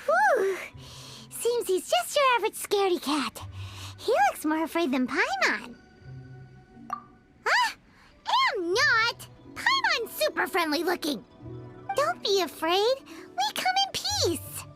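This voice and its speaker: A woman voicing a tiny, excitable female fairy companion in a game, blunt and exclaiming, with loud music behind her. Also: slow pace, pauses often, full character voice. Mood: teasing. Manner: squeaky, exclaiming, refers to herself by name. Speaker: game character.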